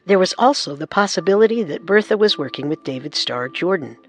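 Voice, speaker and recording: A man narrating a true-crime radio story in an earnest, assertive way over soft music. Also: sits high, animated, brisk. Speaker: narrator and co-host.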